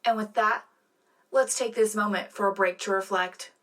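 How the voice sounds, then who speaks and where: A woman's voice: mid-range voice, steady tone, moderate pace, pushed and bright, close to an everyday voice. Woman as polished propaganda radio co-anchor. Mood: prim, upbeat. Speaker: radio co-host. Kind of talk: scripted satirical news broadcast.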